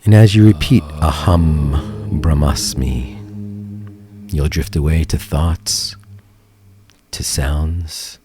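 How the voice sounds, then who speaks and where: A man guiding a meditation in a soothing, gentle manner, leaving long pauses, with soft, breathy phrase endings. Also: extremely low pitch. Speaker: meditation teacher and guide. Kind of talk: guided meditation. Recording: calm music bed behind voice. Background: meditation music.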